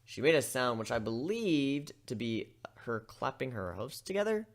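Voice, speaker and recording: A man giving an amateur dramatic reading of a first-person story, expressive and shifting between narration and character voices. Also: mid-range voice, moderate pace.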